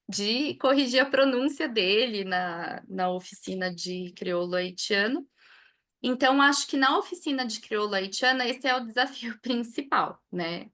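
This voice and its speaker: A Brazilian woman with a slightly high voice, speaking as a language teacher in an online talk. She tells her experiences in an easygoing, conversational way and keeps checking in with a questioning "né". Her delivery stays unhurried and friendly. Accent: Brazilian Portuguese. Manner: relaxed storytelling, tags sentences with a questioning "né".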